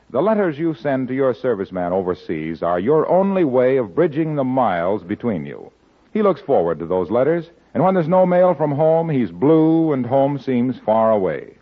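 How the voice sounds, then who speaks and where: A man delivering scripted announcements as a 1940s radio announcer. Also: mid-range voice. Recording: muffled old radio broadcast.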